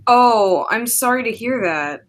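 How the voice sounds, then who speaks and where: Young woman playing a slow, courteous, staid older gentleman. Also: fairly high pitch, animated, very noisy. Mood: polite, mild. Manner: measured, polite. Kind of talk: high school radio play acting.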